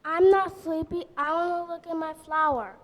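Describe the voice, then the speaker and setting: An actress voicing a small, earnest young daughter who talks innocently. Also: female voice, very high-pitched, steady tone, moderate pace, long reverb tail. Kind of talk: 1940s radio acting.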